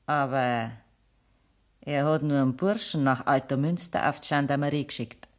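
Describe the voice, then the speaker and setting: A woman's voice: rumbling low, fairly even intonation, normal speed. An actress playing a stubborn, plain-spoken woman giving insistent testimony in a 1970s radio play, with a Bavarian accent. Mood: matter-of-fact. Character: stubborn woman testifying in her loved one's defence.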